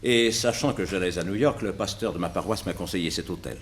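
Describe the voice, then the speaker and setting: An actor playing a polite, fretful provincial gentleman in a 1960s French radio mystery, with a hesitant conversational manner. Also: low voice, a little fast, close to an everyday voice. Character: respectable, fretful provincial gentleman hotel guest carrying money. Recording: noisy old radio drama recording.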